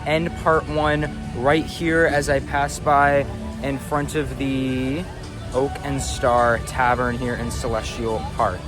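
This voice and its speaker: A young man vlogging through a theme park, upbeat and enthusiastic, his voice slightly raspy and hoarse. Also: mid-range voice, flat delivery, slow pace, music in the background. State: hoarse from shouting on a coaster. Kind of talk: casual vlog narration. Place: indoor and outdoor areas of a theme park.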